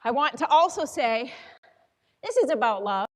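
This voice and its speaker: A woman's voice: sits high, expressive, moderate pace. A woman hosting a live fundraising event, addressing the audience over a PA in an echoey hall. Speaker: event host, chiropractor.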